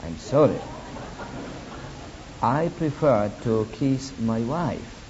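A man from Poland speaking English with a Polish accent as a guest preacher, giving a sermon with personal testimony in deliberate, halting non-native phrasing and wry asides. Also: mid-range voice, big pitch swings. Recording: dull lo-fi recording with faint hiss.

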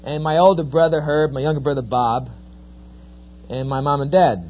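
A man's voice: fairly high pitch, moderate pace, slight background noise. A middle-aged man with a New Jersey accent, giving a sermon-style talk full of folksy, joking stories. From New Jersey, USA. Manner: folksy, joking, lively storyteller. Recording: dull lo-fi recording.

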